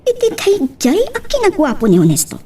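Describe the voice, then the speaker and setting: A woman's voice: sits high, wildly swooping pitch, brisk, warm tone. A woman playing a scheming, money-minded, needling woman in an audio drama, with an Ilocano accent. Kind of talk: amateur audio drama acting.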